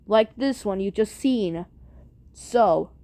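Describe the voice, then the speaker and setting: A woman's voice: medium pitch, lively intonation, takes it slowly, warm tone. A woman chatting casually to viewers as the host of her own video. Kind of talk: casual video intro and outro chat.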